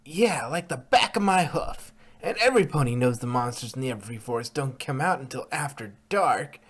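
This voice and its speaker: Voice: mid-range voice, animated, moderate pace, husky. A performer voicing a brash, mischievous young pony filly in a story reading. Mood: cocky.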